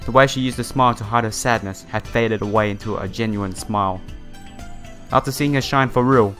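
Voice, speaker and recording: A man's voice: lowish voice, brisk, fairly bright tone. A man with a sore, slightly broken voice doing a casual game playthrough, reading visual novel text aloud and adding his own comments. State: sore throat. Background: game music.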